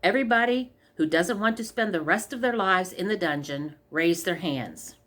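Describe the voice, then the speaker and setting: A woman's voice: lowish voice, moderate pace, fairly bright tone, close to an everyday voice. A woman voicing a confident male castle sage in a storybook fairy tale. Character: bearded male castle wise man, confident problem solver.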